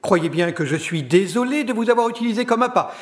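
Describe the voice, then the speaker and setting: A man's voice: high voice, animated, a little fast. An actor playing a condescending villainous scientist in his forties, formal and courteous in a smug, controlling way, in an audio drama. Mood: polite, controlling. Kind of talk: scripted audio drama acting.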